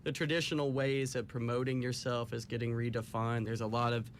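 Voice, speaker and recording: Man, middle-pitched voice, musician guest, agreeable, halting casual radio talk, faint ambient noise. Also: flat delivery. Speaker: band musician, interview guest. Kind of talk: casual live radio interview. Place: radio station, live broadcast. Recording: faint background room noise.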